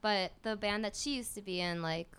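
A woman's voice: mid-range voice, moderate pace, fairly bright tone, clean tone. A woman in her twenties, a band guest rambling casually with lots of fillers. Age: 20s. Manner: rambling, lots of "like", laughs easily. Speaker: bass player, guest. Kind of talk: casual interview chat.

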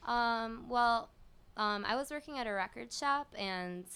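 A woman in her twenties chats as a guest, rambling and filling with "like". Her voice is strikingly smooth and clear. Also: a little high, a little slow, sharp tone. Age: 20s. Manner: rambling, lots of "like", laughs easily. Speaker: bass player, guest. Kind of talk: casual interview chat.

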